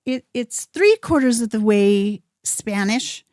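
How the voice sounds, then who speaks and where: Woman, songwriter guest, fairly high voice, chatty, hesitant, dry close sound. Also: animated, takes it slowly, a little mellow, no echo. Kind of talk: casual interview chat. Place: radio studio.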